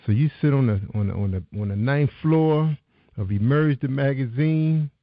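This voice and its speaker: A man's voice: mid-range voice, moderate pace, no echo, narrow, tinny audio. A man hosting a talk show, chatting with a folksy, encouraging manner. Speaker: radio talk show host. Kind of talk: casual interview chat.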